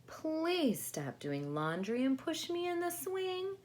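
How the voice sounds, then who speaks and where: Woman acting a whiny, impatient little girl, high-pitched. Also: huge pitch swings, takes it slowly, darkish tone, heavily acted. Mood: demanding. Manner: whiny, insistent. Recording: faint background noise.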